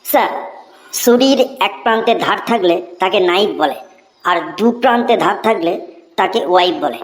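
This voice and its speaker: A woman's voice: mid-range voice, lively intonation, moderate pace, close to an everyday voice, poor audio. A woman voicing a group of cheeky, wisecracking cartoon schoolchildren. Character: cheeky cartoon schoolkids, boys and girls, pranksters. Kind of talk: Bengali cartoon comedy voice acting.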